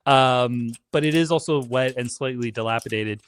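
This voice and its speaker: A man's voice: mid-range voice, moderate pace, clean tone. A man chatting casually as a guest, with a tongue-in-cheek, mock-defensive comic manner. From Canada. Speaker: guest on a live stream.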